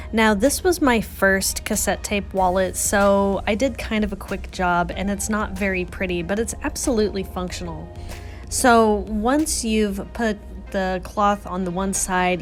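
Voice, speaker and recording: A woman giving a casual, chatty and self-deprecating voice-over for a craft tutorial. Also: mid-range voice. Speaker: craft vlogger, host. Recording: music under the voice. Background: music.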